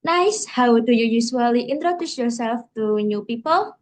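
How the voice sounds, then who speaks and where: A young woman co-hosts a casual podcast. She speaks with a fairly high voice and puts her questions to the guest in a bright manner that sounds read from a script. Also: lively intonation, normal speed. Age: young adult. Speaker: co-host.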